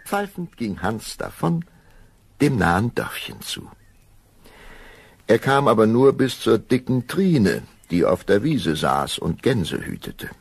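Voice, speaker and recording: A man narrating a scripted fairy tale as storyteller, expressive and unhurried, speaking directly to his listeners. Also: on the low side, gravelly, a little hiss or hum.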